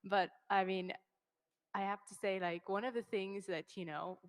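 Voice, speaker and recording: A woman's voice: mid-range voice, steady tone, moderate pace, smooth. A young woman interviewing a fellow designer in a casual, upbeat way, full of "like" and "you know". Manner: chatty, filler-heavy, upbeat.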